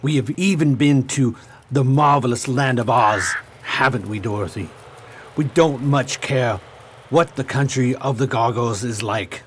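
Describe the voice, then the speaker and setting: A brave, courteous old magician played by a man in a radio play. He speaks formally at a medium pitch, like a kindly uncle, over faint background noise. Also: lightly acted. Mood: reassuring. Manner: formal, avuncular, measured.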